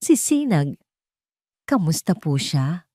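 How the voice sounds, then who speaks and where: A woman's voice: deep voice, sing-song, slow, dark tone, no echo, clean studio sound, exaggerated voice. A woman voicing a distraught, insistent woman in an emotional audio drama. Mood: anxious, hushed. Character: distraught woman pleading to see her critically injured loved one.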